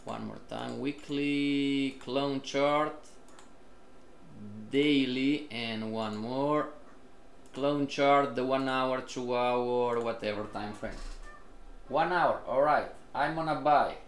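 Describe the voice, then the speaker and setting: A man's voice: mid-range voice, takes it slowly, vocal fry, roomy sound, a little hiss or hum. A man teaching a live online trading class, repeatedly questioning and prompting his students as a group. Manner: repetitive, questioning, addresses the group often.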